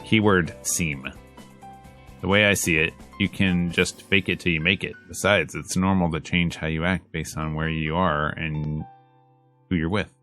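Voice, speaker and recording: A man's voice: sits low, steady tone, unhurried, sharp tone, soft background music. A man reading a visual novel aloud in a casual, slangy let's play style, moving between narration and dialogue.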